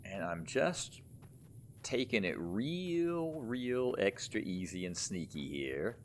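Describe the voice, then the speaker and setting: A man's voice: fairly low pitch, sing-song, takes it slowly, a little hiss or hum. A man giving casual, rambling gameplay commentary, thinking aloud in a conversational way as he plays. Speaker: gamer, let's play host.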